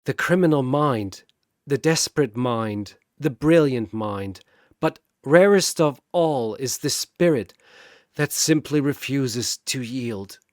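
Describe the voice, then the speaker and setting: A man's voice: medium pitch, animated, slow, dry recording, clean studio sound. A man voicing an eloquent, dying Victorian detective in a battle story, with formal, wordy, analytical speech. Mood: solemn admiration.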